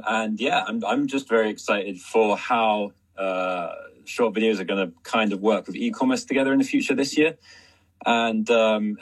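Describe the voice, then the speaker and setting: A man's voice: fairly low pitch, slightly rough, low-quality recording. A man casually introducing himself as a speaker on a panel. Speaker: panel speaker, influencer and agency founder.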